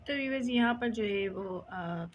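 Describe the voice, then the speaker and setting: A woman's voice: medium pitch, normal speed, slight background noise. A young woman chatting casually as she vlogs her housework at home, rambling with many fillers. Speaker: vlogger. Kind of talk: casual home vlog narration.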